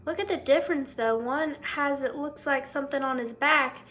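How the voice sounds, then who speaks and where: A woman with a high voice reads a children's picture book aloud as a teacher would. She narrates and comments, addressing young listeners directly and prompting them to think. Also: moderate pace, a bit bright.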